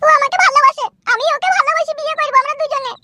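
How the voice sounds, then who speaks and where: A performer voicing a stubborn, lovestruck teenage village girl in a comedy cartoon, with a pitch-shifted voice. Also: fairly even intonation, very fast, bright, forceful tone, exaggerated voice. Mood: defiant, lovestruck. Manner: insistent, defiant back-talk. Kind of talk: Bengali comedy cartoon dub.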